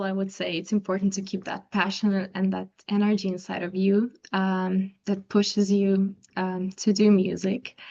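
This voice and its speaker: A young woman from Croatia, a native Croatian speaker, answering interview questions softly and carefully, with frequent ums and restarts. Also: medium pitch, gravelly, dull audio. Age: young adult. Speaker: interview guest, pianist.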